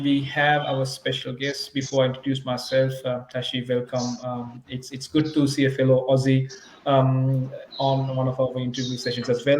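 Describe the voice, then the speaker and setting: A man from Sri Lanka co-hosting a live online interview, speaking hesitantly with lots of ums. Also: mid-range voice. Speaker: co-host. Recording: echoey room with faint ambient noise.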